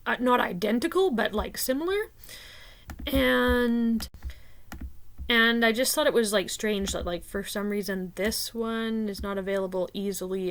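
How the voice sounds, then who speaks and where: A woman from Canada talking casually and thinking aloud, with frequent fillers and restarts. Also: medium pitch. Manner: unhurried, hesitant, many fillers like "um" and "like". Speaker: video creator discussing rune poems. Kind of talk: casual spoken commentary.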